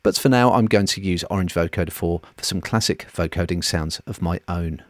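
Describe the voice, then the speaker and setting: A man presenting an audio software walkthrough in a scripted, explanatory narration, recorded close on a voice-over mic. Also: low voice, brisk.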